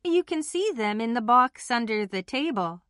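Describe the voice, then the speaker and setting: A woman playing a friendly girl in scripted English lesson dialogue, speaking deliberately with careful enunciation. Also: a little high, expressive, fairly bright tone, dry recording, studio quality. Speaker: listening test voice actor.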